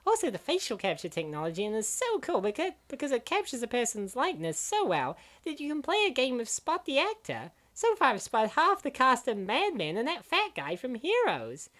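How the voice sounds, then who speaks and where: Woman acting as young female gamer, high voice, very animated, sardonic, opinionated, comic dub banter. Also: fairly quick, hardly any pauses. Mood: mock enthusiasm.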